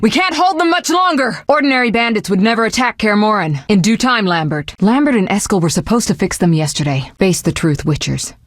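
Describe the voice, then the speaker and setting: An actress playing a confident, proud young sorceress in a fantasy video game, speaking in a poised, articulate, assertive way. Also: female voice, medium pitch, no room sound, studio quality, close to an everyday voice. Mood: urgent, alarmed.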